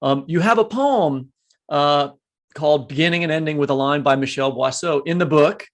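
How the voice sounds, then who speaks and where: A man's voice: fairly high pitch, moderate pace. A man, co-hosting a podcast and putting questions to a guest in a conversational way. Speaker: podcast co-host. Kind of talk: conversational interviewing.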